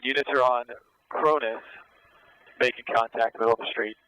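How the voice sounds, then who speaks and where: A man's voice: mid-range voice, steady tone, moderate pace, sharp tone, lo-fi sound, a little hiss or hum. A man speaking over police radio as an air unit officer, giving terse, directive tactical callouts. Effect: police radio transmission.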